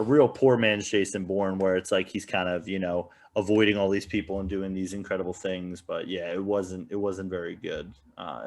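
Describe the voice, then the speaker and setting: A man giving casual opinions as a podcast panelist, in a rambling, hedging way. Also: low voice. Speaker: podcast panelist reviewing films.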